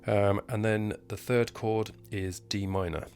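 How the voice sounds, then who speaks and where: A hoarse man hosting a casual piano tutorial at home, talking in a relaxed, halting way and counting beats aloud. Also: lowish voice. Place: at home, indoors. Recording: piano playing behind the voice.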